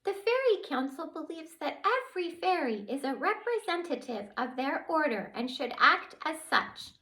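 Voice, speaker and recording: A woman reading aloud, voicing a tiny, tearful female fairy with a high-pitched voice that sobs between words. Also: sing-song, normal speed, fairly bright tone, rough recording. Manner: weepy, sobbing between words.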